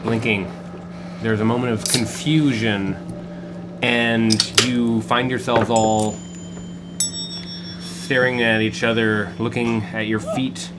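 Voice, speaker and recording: A man running a tabletop game as game master, narrating in a halting way with many fillers. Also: lowish voice, flat delivery. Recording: background noise.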